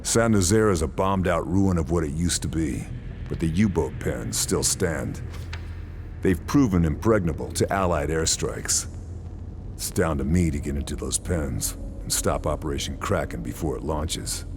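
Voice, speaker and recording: An actor playing a resolute wartime sniper operative, narrating in a terse, grave mission-briefing style for a video game. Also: male voice, extremely low pitch, expressive, darkish tone, a little hiss or hum. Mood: grim, determined. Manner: terse, grave, deliberate phrasing.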